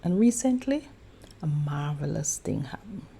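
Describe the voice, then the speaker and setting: Slow, gravelly woman narrating. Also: sits low, animated, mellow, a little hiss or hum. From Jamaica. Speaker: vlog host. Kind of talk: scripted narration.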